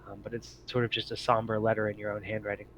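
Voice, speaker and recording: A man's voice: lowish voice, completely flat delivery, fast, fairly bright tone, a little hiss or hum, low-quality recording. A man narrating a tabletop role-playing game as game master, explaining things informally.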